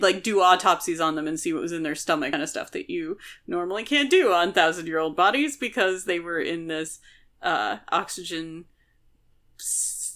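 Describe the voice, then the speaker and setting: A woman's voice: fairly low pitch, a bit bright. A woman co-hosting a podcast, explaining things in casual book discussion, conversationally and peppered with "like". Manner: explanatory, conversational, frequent filler "like". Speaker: podcast co-host.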